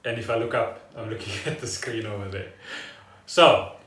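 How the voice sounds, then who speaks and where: A man's voice: on the low side, fairly even intonation, normal speed, background noise. A man chatting casually as a podcast co-host, explaining things in a rambling, storytelling way in a living room. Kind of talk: casual chat. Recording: roomy echo, distant from the mic.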